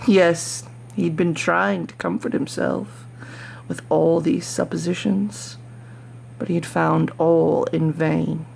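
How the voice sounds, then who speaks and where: A performer voicing a nervous, obsessive mad murderer in a dramatic gothic horror reading, with breathy whispering and halting, theatrical emphasis. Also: mid-range voice, steady tone, a little mellow, a little hiss or hum. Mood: dark, intense.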